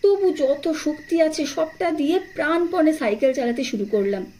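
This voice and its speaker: A woman narrating a first-person horror story in an expressive, suspenseful, dramatic scripted style. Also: sits high, normal speed. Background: atmospheric sound effects.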